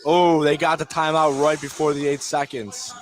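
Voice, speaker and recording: A man's voice: fairly high pitch, flat delivery, normal speed, crowd noise. A man calling live basketball play-by-play for radio in short, clipped phrases. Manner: clipped, fragmentary calls of the action. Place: indoor high school gym, courtside.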